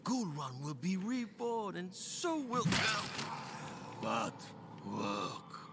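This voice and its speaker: An actor playing a sinister villain in a video game, drawing words out theatrically. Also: male voice, high-pitched, huge pitch swings, very slow, heavy background noise, heavily acted. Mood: eager menace. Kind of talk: dramatic video game dialogue.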